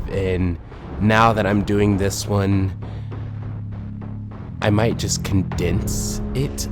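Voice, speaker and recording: A man hosting a casual solo podcast about a video game, thinking aloud in a rambling, hesitant way and sounding a bit phlegmy from a recent illness. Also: low-pitched. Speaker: podcast host. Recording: voice over loud background music.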